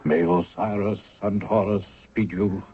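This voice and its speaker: A man acting in a 1970s radio drama as a high priest of ancient Egypt, formal, measured and ceremonial. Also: fairly even intonation, over music. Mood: solemn, ceremonial. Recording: narrow phone-like vintage radio recording.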